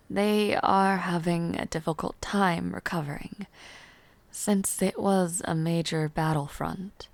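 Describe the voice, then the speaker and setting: A refined, weary noblewoman, acted by a woman in a fantasy audio roleplay. She speaks very slowly, in a slightly low register with a touch of fry. Her manner is formal, gentle and patient, with soft hesitations, and the sound is close and dry. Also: no room sound. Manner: measured, formal, hesitant, softly spoken with pauses. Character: refined, gentle, tired noblewoman ruler mentoring a newcomer. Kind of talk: fantasy audio roleplay, intimate one-sided dialogue.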